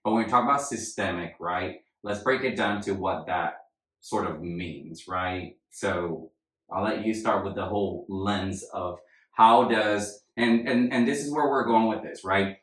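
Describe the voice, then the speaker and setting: A man's voice: fairly low pitch, a little slow, a bit bright. A man from Puerto Rico, raised in Florida, co-hosting a podcast as a family therapist and explaining ideas with frequent "right?" checks. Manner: explanatory, many "right?" tags. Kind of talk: casual podcast chat. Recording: echoey room.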